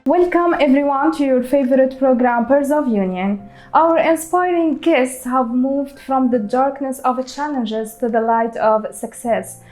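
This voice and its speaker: Woman host, fairly high voice, polite, faint music behind. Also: measured pace, a little mellow, rough recording. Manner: polite, frequent short backchannels. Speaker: show host. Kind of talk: hosting an interview.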